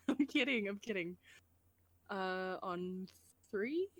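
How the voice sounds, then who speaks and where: A woman's voice: medium pitch, lively intonation, very slow. A woman talking casually as a guest voice actor in an outro chat.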